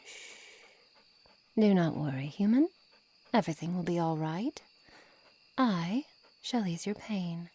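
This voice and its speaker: A haughty witch, acted by a woman for an ASMR roleplay, speaks in a breathy, husky voice on the low side. Her delivery is very slow and formal, with a condescending, controlling edge that often sinks toward a whisper. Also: mellow. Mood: soothing, ominous. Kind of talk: ASMR roleplay, scripted monologue to the listener.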